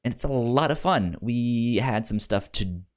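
Casual male podcast host, mid-pitched voice. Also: lively intonation, measured pace.

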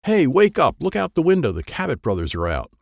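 Man voicing a bossy, stubborn young girl, pitched up. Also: huge pitch swings, fairly quick, creaky, dry recording, clean studio sound, full character voice. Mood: bossy, excited. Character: teenage girl, stubborn, bossy, sporty older cousin. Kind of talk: children's audiobook character dialogue.

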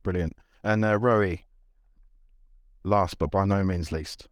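A man introducing a topic and moderating a panel discussion in a measured, scripted way. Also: sits low, steady tone, no room sound, clean studio sound.

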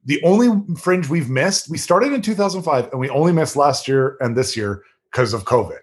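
A man talking in a relaxed, rambling way as a podcast guest, with a slightly nasal yet deep voice and many fillers. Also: normal speed. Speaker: podcast guest, improv performer. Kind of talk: casual chat.

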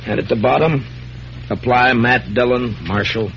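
An actor playing a stern, dryly sardonic frontier marshal in an old radio western. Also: male voice, medium pitch, moderate pace, creaky, slight background noise, natural voice. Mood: businesslike. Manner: dry, sarcastic, authoritative. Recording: muffled old radio recording, music behind.

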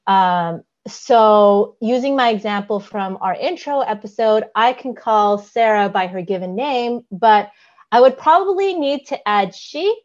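A woman's voice: mid-range voice, lively intonation, measured pace, dull audio. A woman co-hosting a podcast, explaining things carefully in casual chat, with frequent "um"s and a giggly manner. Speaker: podcast co-host.

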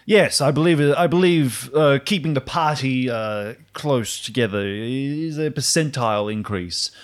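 A man voicing a cold, calculating computer intelligence in a tabletop roleplay, speaking in halting, analytical phrases. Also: medium pitch, natural voice.

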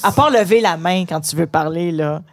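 A woman's voice: on the low side, moderate pace, slight background noise. A woman with a Canadian French accent hosting a casual, chatty interview with schoolchildren and their principal. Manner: friendly, chatty, restarting phrases. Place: inside a parked truck.

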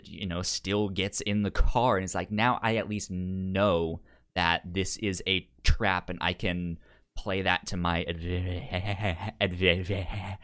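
A man with a fairly low voice talks casually about film as a podcast co-host. His delivery is halting, with syllables and words often repeated as he works through a thought. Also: fairly bright tone.

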